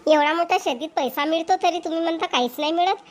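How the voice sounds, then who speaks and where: A spoiled young cartoon wife, acted by a woman, argues in an extremely high, childlike pitch. She sounds whiny and defiant, with a Vidarbha Marathi accent. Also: quick pace. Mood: demanding. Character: spoiled, argumentative young wife, fond of shopping. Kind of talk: amateur cartoon voice acting.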